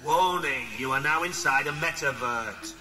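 A man's voice: fairly high pitch, moderate pace, far from the mic, lightly acted. An officious public warning voice of a virtual world, acted by a man, sounds set back from the microphone over prominent music. The delivery is formal and bossy, with a short fuse, as in an audiobook. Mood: stern, official. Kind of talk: announcement in an audiobook.

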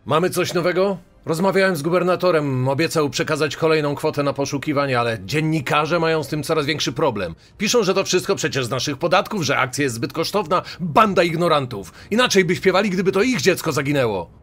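A man's voice: on the high side, expressive, brisk, fairly bright tone, soft background music. A man playing a harried, defiant sheriff in a true-crime podcast drama, irritated but determined. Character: harried, defiant male sheriff running a search.

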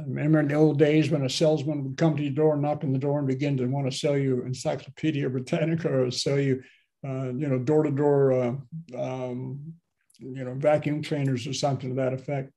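A man's voice: mid-range voice, dark tone. A man in his seventies, a pastor, preaching in a loose, conversational way from a home office over a video call, with asides and questions to his listeners. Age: 70s. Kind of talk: sermon. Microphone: laptop webcam on a video call.

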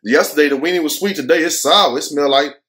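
Higher-pitched American man, blunt casual commentary. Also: fast talker, fairly bright tone. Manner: blunt, slangy, profane, joking. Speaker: online commentary show host. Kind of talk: casual opinionated commentary to camera. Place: furnished room at home.

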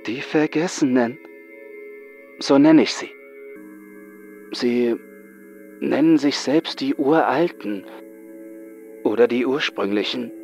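A man's voice: mid-range voice, takes it slowly, vocal fry, long pauses, a little mellow, highs cut off, faint music behind, pitched above the performer's own voice. Man acting a haunted, frightened young psychiatric patient. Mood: haunted, fearful. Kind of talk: horror audiobook acting.

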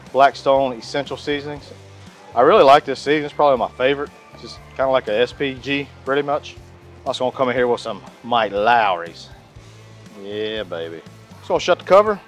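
A man, chatting casually while cooking outdoors on a beach for his cooking channel, on a clip-on lapel mic. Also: medium pitch. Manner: folksy, chatty, says "y'all" often.

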